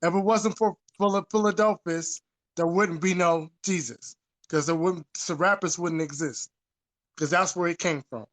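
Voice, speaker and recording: A man's voice: high-pitched, moderate pace, a bit bright. A man talking casually and informally about history, repeating phrases for emphasis and swearing now and then.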